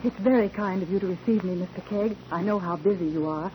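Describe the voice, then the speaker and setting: An actress playing a genteel, earnest wife in a 1940s radio drama, on a dull, noisy old recording. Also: female voice, medium pitch, quick pace, darkish tone, close to an everyday voice. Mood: earnest pleading. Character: earnest, genteel commandant's wife pleading for another woman.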